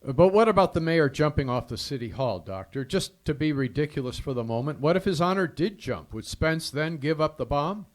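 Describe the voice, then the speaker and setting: An actor playing a brisk, wry private detective in a 1940s radio drama, clipped and businesslike. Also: male voice, fairly high pitch, expressive, natural voice. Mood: urgent.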